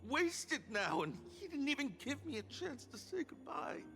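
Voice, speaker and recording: A man's voice: very high voice, sing-song, normal speed, gravelly, bright, forceful tone, faint music behind, full character voice. A man playing a blunt, exasperated city worker and stubborn son in a video game. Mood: bitter grief.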